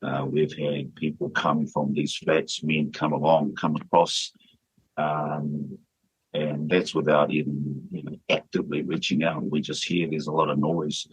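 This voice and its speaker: A man's voice: very deep voice, level tone, measured pace, husky, no echo, rough recording. A man leading a church, speaking earnestly and steadily in a radio interview, with fillers and restarts. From New Zealand. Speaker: church leader.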